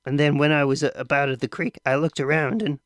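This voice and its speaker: A man's voice: a little high, fairly even intonation, fast, fairly bright tone, no echo, clean studio sound, pitched above the performer's own voice, close to an everyday voice. A man voicing a rambling, defensive boy. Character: boy, nervous and talkative.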